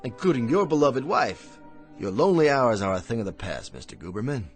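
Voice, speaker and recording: A man's voice: mid-range voice, big pitch swings, faint music behind. An actor playing a courteous, insinuating miracle worker and con man in a radio drama. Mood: smooth, courteous. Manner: courteous, insinuating, drawn-out mock politeness. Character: smooth-talking, sly male miracle worker and con man.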